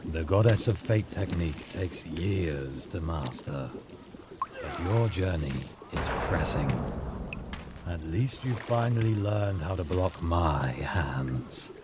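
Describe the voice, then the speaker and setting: An actor playing a gruff, stern hermit martial arts master in a fantasy audio drama, speaking in terse, measured phrases. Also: male voice, deep voice, animated. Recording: loud water noise behind the voice.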